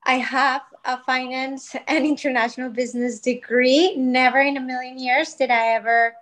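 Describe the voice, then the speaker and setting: A woman's voice: high voice, fairly even intonation, moderate pace, fairly bright tone. A woman in her thirties from Colombia, a podcast guest telling her story in a chatty, grateful way with many fillers. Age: 30s. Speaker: guest, wellness brand founder. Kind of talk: podcast interview, personal story.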